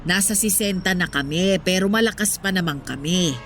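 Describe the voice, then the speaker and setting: A woman's voice: fairly low pitch, moderate pace, soft background music, natural voice. An actress playing a proud, talkative sixty-year-old Filipino street vendor with a motherly, exclamatory manner in a radio drama.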